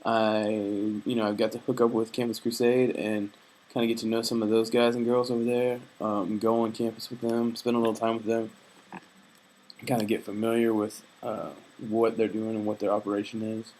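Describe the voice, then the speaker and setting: A man's voice: fairly low pitch, little pitch movement, moderate pace, a little mellow, poor audio. A young man recording a video diary, talking in a hesitant, reflective and earnest way, full of fillers and restarts, homesick. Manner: earnest, faith-minded. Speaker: vlogger. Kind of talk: casual personal video diary.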